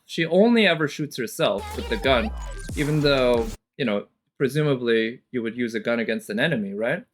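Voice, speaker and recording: A man's voice: medium pitch, fairly bright tone. A man giving casual, off-the-cuff reaction commentary as a streamer, thinking aloud analytically with casual swearing.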